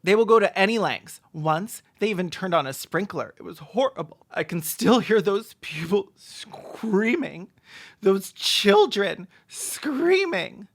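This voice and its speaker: A video essay host doing sarcastic comedic commentary with mock-serious, deadpan jokes. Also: medium pitch, a little slow.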